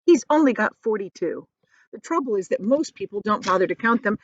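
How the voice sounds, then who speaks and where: A woman's voice: mid-range voice, animated, a little fast, no echo, muffled recording, natural voice. Lady doing a grumpy prim storybook earthworm guy, disapproving, very up and down, dullish audio. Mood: exasperated. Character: prim, indignant blind male earthworm, grumpy and contradicting.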